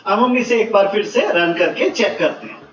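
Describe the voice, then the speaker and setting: A man giving step-by-step software instructions, mixing in English technical words. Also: high-pitched, animated, moderate pace. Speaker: software tutorial presenter. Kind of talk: step-by-step screen tutorial. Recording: muffled, roomy, distant mic, faint music.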